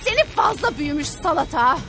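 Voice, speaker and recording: A woman's voice: sits high, big pitch swings, a little fast, rough recording. A woman voicing a goofy, overexcited cartoon cave girl who wants to hug and eat everything, in a dub. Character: goofy hungry cave girl, loves hugging. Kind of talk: cartoon dubbing. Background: background music.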